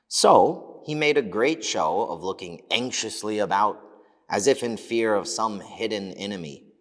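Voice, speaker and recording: A man reading scripted fables as a storybook narrator, expressive and deliberate. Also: on the low side. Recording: long hall reverb.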